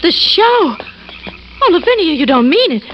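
Timid, high-voiced, fretful woman. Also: very animated, a little slow, heavily acted. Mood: distressed. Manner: fretful, hesitant, pleading. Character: timid, anxious woman, easily frightened, pleading companion. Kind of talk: old-time American radio drama acting. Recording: muffled, noisy vintage radio recording.

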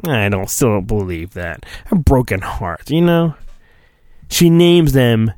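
A man hosting a podcast, giving a theatrical mock-dramatic reading of a storybook with drawn-out phrasing and goofy, rambling asides. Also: medium pitch, lively intonation, darkish tone, no echo, clean studio sound.

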